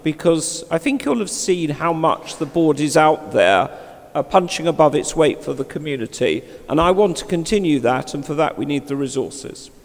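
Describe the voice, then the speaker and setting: A man chairing a formal meeting in a large hall, speaking loudly and emphatically as he appeals to the room. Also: a little high, animated, moderate pace. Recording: reverberant hall.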